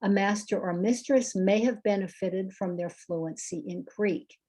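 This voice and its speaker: A woman delivers a scholarly lecture paper, reading her prepared text steadily. Her voice sits at an ordinary middle pitch. Her pacing is careful and deliberate, as befits an academic talk. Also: warm tone. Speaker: scholar giving a conference paper.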